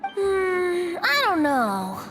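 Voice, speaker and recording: A breezy young bard with a high, boyish voice. The delivery is lyrical and theatrical, swooping playfully, as in an anime fantasy game. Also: female voice, big pitch swings, extremely slow, music in the background, full character voice. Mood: hesitant. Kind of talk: anime-style video game voice acting.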